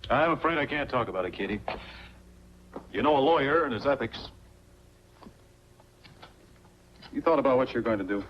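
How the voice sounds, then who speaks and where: An actor playing an earnest, frustrated small-town lawyer with an American accent, in 1940s film style. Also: male voice, mid-range voice, expressive, fast talker, natural voice. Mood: reserved. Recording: dull, noisy 1940s film soundtrack with hum.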